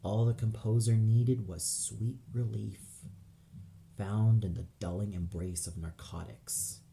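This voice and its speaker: A man's voice: on the low side, fairly even intonation, slow pace, creaky, warm tone, a little hiss or hum. A man narrating an audio play in a dramatic scripted style, solemn and theatrical.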